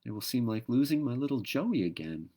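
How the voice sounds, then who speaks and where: A man voicing a gentle, motherly female kangaroo in a storybook, speaking softly and wistfully. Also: medium pitch, very animated, a little fast, voice pushed up. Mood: wistful sadness.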